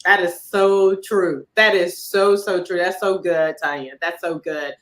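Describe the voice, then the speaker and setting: Animated woman host repeating for emphasis. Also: on the low side, unhurried. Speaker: podcast host. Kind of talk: casual interview chat.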